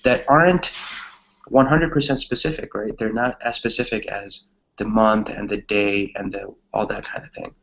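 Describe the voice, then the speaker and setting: A man's voice: fairly low pitch, creaky, muffled recording, slight background noise. A man teaching an English grammar lesson conversationally, encouraging his students and often checking in with "right?".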